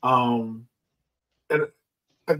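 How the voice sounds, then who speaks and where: A man's voice: medium pitch, fairly even intonation, very slow, a bit bright, distant mic. A man hosting a podcast, talking through points in a casual discussion style. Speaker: podcast host.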